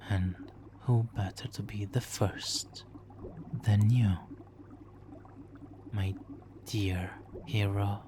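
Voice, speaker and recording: A man playing a smug, flirtatious supervillain in an ASMR roleplay, teasing and mock-polite, with savoring pauses. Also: fairly low pitch, steady tone. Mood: teasing, confiding. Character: smug, flirtatious male supervillain toying with a captured hero. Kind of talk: ASMR roleplay, slow intimate monologue. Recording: faint background noise.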